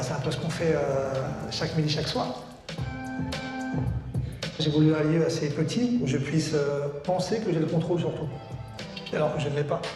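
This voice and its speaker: Reflective chef over music, echoey. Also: male voice, on the high side. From Congo. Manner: reflective, pausing to find words. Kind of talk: interview in a short documentary film. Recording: strong echo with music over it.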